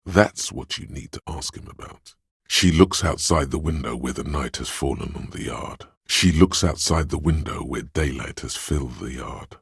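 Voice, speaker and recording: A man voicing the inner sense of perception in a game, measured, watchful and dryly descriptive. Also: rumbling low, husky, no echo, clean studio sound, exaggerated voice. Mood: observant. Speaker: voice of a detective's perception skill. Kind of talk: scripted game voice lines.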